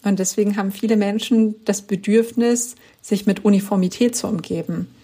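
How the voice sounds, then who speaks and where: A woman's voice: medium pitch, steady tone, moderate pace, warm tone, slightly rough. A woman author being interviewed on a podcast, explaining her views in an earnest, emphatic way. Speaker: author guest.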